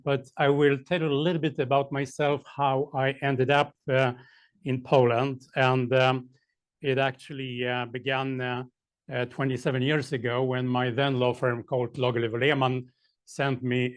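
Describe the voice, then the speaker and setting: A man, a lawyer giving a seminar talk, halting with many fillers and dry asides. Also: mid-range voice, no echo.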